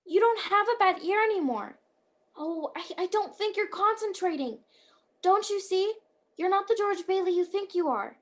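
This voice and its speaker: Woman playing a very high-voiced, earnest apprentice angel. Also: expressive, normal speed. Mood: gently persuasive. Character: earnest, bumbling apprentice guardian angel, kindly and chatty. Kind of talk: radio play acting. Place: at home on a video call.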